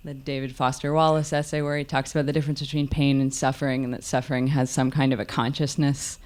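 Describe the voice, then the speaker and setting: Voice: mid-range voice, little pitch movement, quick pace. An audience member giving a reflective, analytical comment in a thoughtful, articulate, hedging manner.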